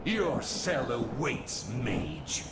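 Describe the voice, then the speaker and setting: An actor playing a cruel, taunting jailer's minion in a video game, with drawn-out threats. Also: mid-range voice, sing-song, creaky, very noisy, exaggerated voice. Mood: menacing. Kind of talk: villainous video game dialogue. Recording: loud game ambience behind the voice.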